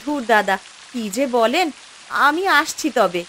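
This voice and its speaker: High plaintive village mother. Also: lively intonation, measured pace, a bit bright, slight background noise. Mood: politely resigned. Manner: plaintive, lamenting, homely village speech. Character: worried poor village wife and mother, dutiful, plaintive. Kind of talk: Bengali fairy-tale cartoon voice acting.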